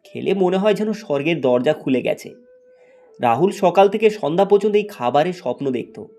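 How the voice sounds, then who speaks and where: A man's voice: high-pitched, expressive, moderate pace, a little mellow, faint music behind. A man reading a scripted fairy tale as its storyteller. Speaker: storyteller, narrator.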